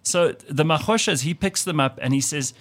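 A man with a South African accent hosting a radio talk show, telling stories in a lively, animated way and putting on the voices of the people he quotes. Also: fairly high pitch, normal speed. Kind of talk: casual talk radio chat.

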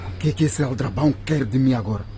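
A man's voice: mid-range voice, fast talker, mellow, slight background noise, natural voice. A man acting in a radio drama as an irritable, brusque, wealthy councillor. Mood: brusque, suspicious. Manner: brusque, impatient. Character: curt, irritable wealthy male councillor with a guard dog.

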